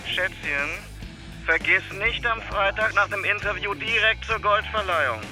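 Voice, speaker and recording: An actor playing a pushy, chummy band manager in a radio drama, bossy and patronising, with English business jargon. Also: male voice, sits high, normal speed, natural voice. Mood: bossy, insistent. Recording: music playing behind the voice.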